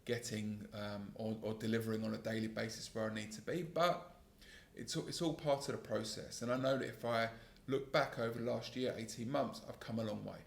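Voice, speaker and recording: A man in his thirties hosting a solo podcast, talking in a calm, earnest, self-critical way about his work as a sales trainer, with a gravelly voice. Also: lowish voice, fairly quick, reverberant. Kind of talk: solo reflective podcast monologue.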